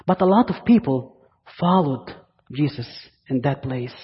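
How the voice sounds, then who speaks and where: A man from Egypt with an Egyptian accent preaches a sermon to a congregation as a guest, deliberately, pausing and repeating phrases for emphasis. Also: mid-range voice, lively intonation. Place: large indoor church space. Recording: muffled, reverberant church hall sound.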